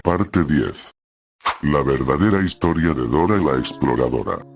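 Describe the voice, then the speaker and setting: A man's voice: rumbling low, lively intonation, a little slow. A man narrating scripted creepypasta stories and announcing their titles. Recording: clear music behind the voice. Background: background music.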